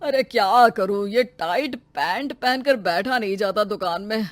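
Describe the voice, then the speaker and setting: A woman's voice: mid-range voice, unhurried, dry recording, natural voice. Woman as chuckling cartoon father-in-law; wry, dry. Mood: grumbling. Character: joking father-in-law, shopkeeper.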